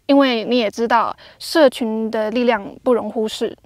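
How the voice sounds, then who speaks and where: A woman's voice: fairly high pitch, normal speed, dry recording, studio quality. A young woman casually chatting and explaining clues in a travel vlog, recorded outdoors in desert badlands with a lapel mic. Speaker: travel vlogger. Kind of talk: casual chat.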